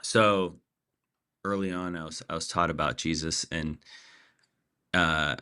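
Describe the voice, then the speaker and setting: A man's voice: low-pitched, steady tone, unhurried, pushed and bright, clean tone. A man in his forties from Pakistan, a podcast guest talking casually with many fillers and restarts. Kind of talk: casual interview chat.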